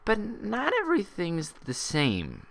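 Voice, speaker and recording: A man's voice: sits high, wildly swooping pitch, slow, gravelly, fairly bright tone, voice pushed up, full character voice. A man voicing an anxious, paranoid young female pony, thinking feverishly, in a storybook reading. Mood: shaky, hesitant. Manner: hesitant aloud, feverishly theorizing in thought. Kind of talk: storybook character voice in fan fiction reading.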